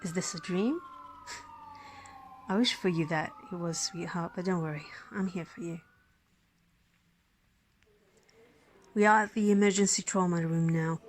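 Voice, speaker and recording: A woman's voice: fairly low pitch, darkish tone, a little hiss or hum, lightly acted. A woman playing a caring, smitten emergency physician in an intimate ASMR roleplay, speaking tenderly and soothingly. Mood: reassuring, tender. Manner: tender, soothing, flustered, dropping into breathy whispers. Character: caring, smitten female emergency physician tending a crash patient. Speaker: roleplay voice performer. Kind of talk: ASMR roleplay acting, intimate one-sided dialogue.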